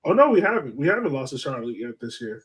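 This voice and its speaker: A man's voice: mid-range voice, big pitch swings, quick pace, slightly rough. A man hosting a podcast, chatting casually about sports in an informal, bantering way. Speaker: podcast host.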